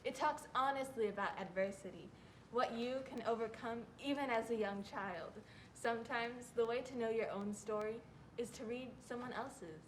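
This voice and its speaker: A woman's voice: on the high side, moderate pace, lightly acted, low-quality recording. A young woman, a student narrator reciting scripted readers theatre lines. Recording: faint background noise.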